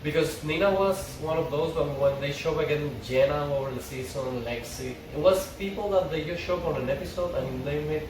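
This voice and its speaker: A man's voice: a little high, brisk, few pauses. Young male fan, casual and rambling, enthusiastic; distant mic, echoey room. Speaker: fan discussing a TV series. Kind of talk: casual chat. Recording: roomy, distant mic with loud background noise.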